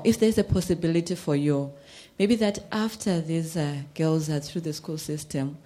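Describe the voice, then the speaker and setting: A woman offers an encouraging comment from the audience. Her voice is soft-edged and very mellow, pitched a little low. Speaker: audience member.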